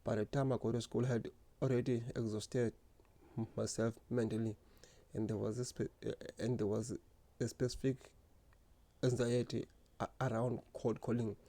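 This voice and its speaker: A man telling his own story in a slow, earnest, reflective way, stuttering often with repeated words and restarts. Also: medium pitch, little pitch movement, warm tone. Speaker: speaker sharing lived experience. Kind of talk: personal reflective monologue.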